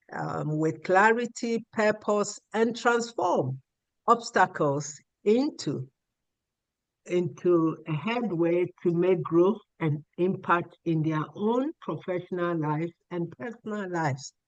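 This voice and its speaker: Woman hosting a podcast, slow and earnest, kinda formal, dry close sound. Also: lowish voice, no echo. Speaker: podcast host. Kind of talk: scripted podcast introduction.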